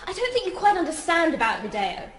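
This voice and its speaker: A woman playing a cool, privileged heiress in a radio drama, measured, formal and detached. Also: extremely high pitch, wildly swooping pitch, fairly bright tone, full character voice. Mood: displeased, composed. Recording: echoey room, faint noise.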